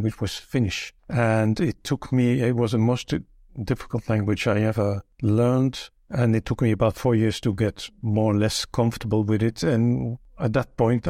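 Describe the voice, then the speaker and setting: Older belgian guy, dutch first language, dark mellow tone, dry close sound, thinks as he talks. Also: medium pitch, no echo. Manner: thoughtful, with frequent restarts while recalling details. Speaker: interview guest. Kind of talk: relaxed conversational interview.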